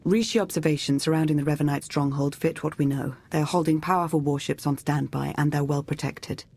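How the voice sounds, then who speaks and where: A woman's voice: deep voice, steady tone, a little fast, a little mellow, husky. A woman voicing a shrewd imperial adviser in a video game, articulate and formal with dry wit. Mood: dry, thoughtful. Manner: articulate, formal, dryly witty. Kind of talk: video game character acting.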